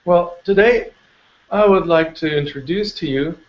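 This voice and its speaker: A man from eastern Ukraine addressing a meditation circle in a calm, measured way, pausing often with small fillers. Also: a little high, lo-fi sound. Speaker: meditation teacher. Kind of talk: slow spoken address to a meditation group.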